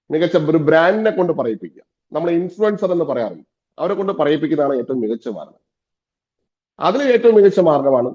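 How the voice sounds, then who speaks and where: A dull, slightly muffled recording of a man coaching business owners. His voice is soft-edged and mellow, sitting a little high for a man. He teaches emphatically, repeating key phrases as he instructs.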